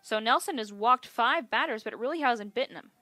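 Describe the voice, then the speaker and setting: A woman calling baseball for television as play-by-play announcer, in a measured, descriptive way. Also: fairly high pitch, lively intonation, sharp tone, no room sound. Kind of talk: live TV sports commentary.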